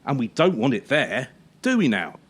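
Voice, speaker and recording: An actor playing a harsh former champion turned gymnastics coach in a radio play, scolding with sarcasm and pointed pauses. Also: mid-range voice, wildly swooping pitch, slightly rough. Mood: mocking. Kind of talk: radio drama acting.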